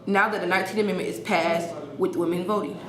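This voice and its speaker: A woman's voice: on the low side, steady tone, fast. A teenage girl anchoring a scripted student newscast in an echoey room with faint noise. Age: teens. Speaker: news anchor.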